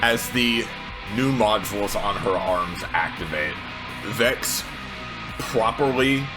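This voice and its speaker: A man with a fairly low voice improvises narration as a tabletop game player. He speaks very slowly and haltingly, feeling for each phrase, while music plays clearly behind him. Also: poor audio. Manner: halting, searching for words. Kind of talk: improvised in-game narration of own character's actions.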